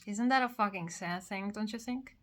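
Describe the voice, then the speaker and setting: A woman from Korea with a Korean accent, speaking in English as a second language. She talks in a personal, emotional monologue after crying, halting and repeating phrases. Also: mid-range voice, fairly bright tone. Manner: slow, halting, repeats phrases, many pauses. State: has been crying. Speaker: vlogger.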